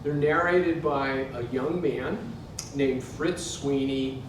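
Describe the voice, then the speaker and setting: A man in his sixties with an American accent, giving a literary reading to a live audience. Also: takes it slowly. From Pittsburgh, United States. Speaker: author reading from his book. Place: indoor museum event space. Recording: very echoey hall, distant mic, noisy rumble.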